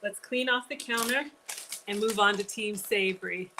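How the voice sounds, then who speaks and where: A woman's voice: mid-range voice, normal speed, bright, forceful tone, slight background noise, poor audio. A woman hosting a live-streamed cooking class in a home kitchen, chatting straight to her viewers with casual asides. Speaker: cooking show host.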